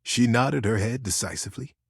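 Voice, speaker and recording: A man narrating a children's story as a storyteller, with expressive emphasis and gentle suspense. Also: mid-range voice, a little fast, vocal fry, slightly rough, no echo, studio quality.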